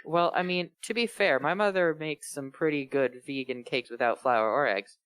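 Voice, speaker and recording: A man's voice: a little high, moderate pace, a bit bright, clear, resonant tone, no room sound. Rambling man riffing, dry close sound. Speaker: co-host reading fan fiction aloud. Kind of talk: casual chat with joking riffs.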